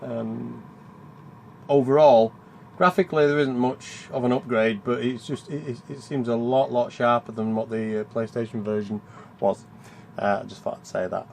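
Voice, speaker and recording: A man's voice: medium pitch, vocal fry, slight background noise. A man hosting a game review with casual, rambling, self-deprecating commentary as he plays. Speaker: video game reviewer and channel host.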